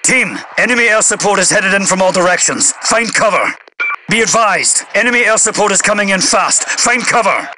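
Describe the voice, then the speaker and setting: An actor playing a stern British major general in a video game, giving clipped, commanding orders through a radio filter. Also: male voice, high-pitched, animated, normal speed. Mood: urgent warning. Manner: clipped, commanding, formal military brevity. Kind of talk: video game voice-over, military radio announcements. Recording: radio crackle and heavy noise.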